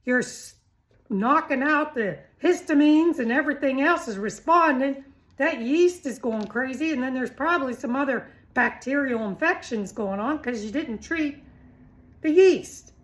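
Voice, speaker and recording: Casting: middle-aged woman, high voice, informal health vlogger, teasing and animated, plenty of rhetorical questions. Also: unhurried, rough recording. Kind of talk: informal, chatty health talk to camera.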